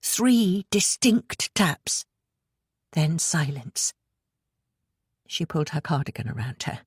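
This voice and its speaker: A woman narrates a suspense novel in a low, breathy voice. Her delivery is expressive and dramatic, with lively movement in pitch, recorded close and dry. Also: slow, no room sound. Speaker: audiobook narrator. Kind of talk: scripted suspense fiction narration.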